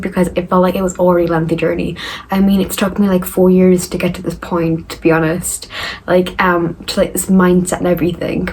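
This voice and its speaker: A woman's voice: on the low side, a little mellow, a little hiss or hum, low-quality recording. A young woman from northeast England, chatting casually as she answers viewer questions in a rambling vlog. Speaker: recovery vlogger.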